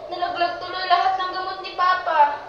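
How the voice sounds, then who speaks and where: A woman's voice: extremely high pitch, steady tone, brisk. A woman playing a stubborn, impulsive young girl with a petulant delivery in an amateur radio drama. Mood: pleading, anxious. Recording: very echoey, distant, dull, faintly noisy.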